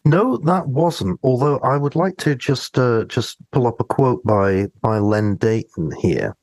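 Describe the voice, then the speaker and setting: A man's voice: medium pitch, unhurried, a little mellow, dry recording. A man co-hosting a podcast, talking conversationally and thoughtfully in a hesitant way about a drama. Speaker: podcast co-host. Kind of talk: conversational discussion of a radio drama.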